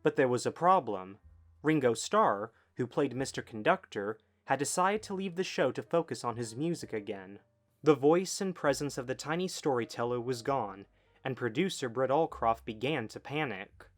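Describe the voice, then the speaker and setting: A man's voice: medium pitch, expressive, normal speed. A man reading scripted documentary narration as a video essay narrator. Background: background music.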